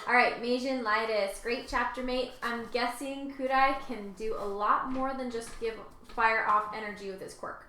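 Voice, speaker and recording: A woman's voice: on the high side, steady tone, normal speed, hardly any pauses, sharp tone. A woman chatting casually and reading aloud as a co-host, informal and conversational. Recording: echoey room with faint noise.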